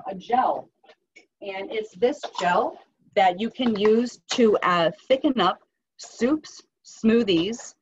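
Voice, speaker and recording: An upbeat woman in her thirties with a Western Pennsylvania accent, teaching a cooking class and talking with ums and restarts. She sounds a little far from the mic. The recording is dull and has a faint hiss under it. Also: medium pitch. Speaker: health coach doing a cooking demo. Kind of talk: live cooking class talk.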